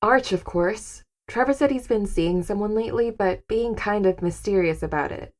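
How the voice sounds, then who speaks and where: An actress playing a practical, wry wife and hostess in an audio drama, matter-of-fact and conversational. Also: female voice, mid-range voice, normal speed, a little mellow, lightly acted.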